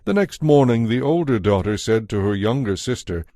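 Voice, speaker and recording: Low-pitched male scripture narrator. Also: animated, normal speed, dark tone, dry recording, studio quality. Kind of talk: scripted dramatic Bible narration.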